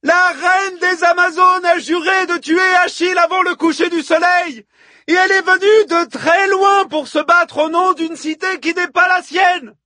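A man's voice: very high voice, fairly even intonation, unhurried, pushed and bright, no room sound, studio quality, pitched above the performer's own voice, heavily acted. A man voicing a fiery, robust Trojan woman who harangues a crowd with impassioned, bellowing oratory in an epic audiobook. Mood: urgent, exhorting. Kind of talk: audiobook character voice, epic fiction.

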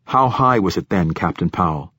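A man's voice: sits low, expressive, normal speed, bright, forceful tone, breathy edge, no echo, heavily acted. A man voicing a stern male priest-captain in an audiobook, questioning curtly and insistently with dry sarcasm. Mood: probing, sarcastic. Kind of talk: audiobook character dialogue.

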